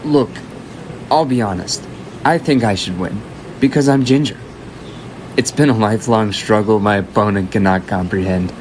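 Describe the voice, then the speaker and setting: An actor in an amateur radio drama playing a casual, frank, sassy young student debater. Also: male voice, fairly low pitch, moderate pace, long pauses, darkish tone, slight background noise, natural voice, poor audio. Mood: deadpan debating.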